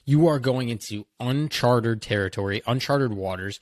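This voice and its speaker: A man's voice: medium pitch, moderate pace, dry recording, studio quality. A young man in his twenties co-hosting a casual podcast, talking enthusiastically and often saying "like". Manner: enthusiastic, leans on "like". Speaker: podcast co-host.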